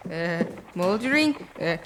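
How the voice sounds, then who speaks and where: A woman's voice: on the low side, lively intonation, measured pace, noisy background. A female actor playing a nervous, vain, bookish schoolmaster in a school radio play, speaking in florid old-fashioned phrases. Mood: polite, uneasy.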